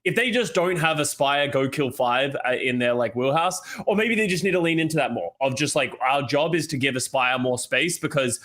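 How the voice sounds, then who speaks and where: A man with a medium-pitched voice talks as a podcast panelist. He analyses esports matches in a casual, conversational style. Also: lively intonation, moderate pace, few pauses, a bit bright. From Australia. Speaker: esports podcast panelist, former pro player.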